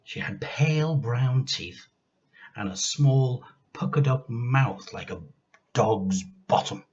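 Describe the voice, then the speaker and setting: Slow, deliberate man reading a children's story. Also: mid-range voice, expressive, a little mellow, highs cut off. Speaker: teacher reading aloud to pupils. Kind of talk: expressive children's storybook narration.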